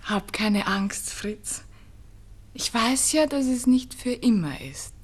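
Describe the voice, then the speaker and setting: A tender, high-voiced Viennese girl. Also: animated, slow, husky, full character voice. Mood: devoted, yearning. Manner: soft, earnest, tender. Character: Christine, sincere devoted young Viennese girl, wistfully in love. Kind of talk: 1960s German radio play acting. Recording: 1960s radio studio recording.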